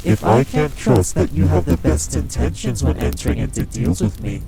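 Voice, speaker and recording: A man's voice: on the low side, sing-song, moderate pace, gravelly, over a noisy crowd. A man playing a proud, condescending arch-demon casino owner in an audio roleplay, drawling and teasing with pet names. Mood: cold, scornful. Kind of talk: dramatic audio roleplay acting. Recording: music under the voice. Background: music.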